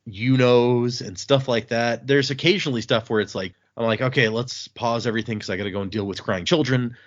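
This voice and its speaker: A man's voice: mid-range voice, brisk. Rambling podcast host man, dull audio. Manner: relaxed, rambling with fillers and restarts. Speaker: podcast host reading listener emails. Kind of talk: casual podcast chat.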